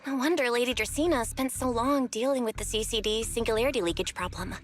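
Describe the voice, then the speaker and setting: A woman playing a caring, slightly bossy young woman in a video game, speaking in an expressive, conversational way. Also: fairly high pitch, quick pace, close to an everyday voice. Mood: thoughtful, curious.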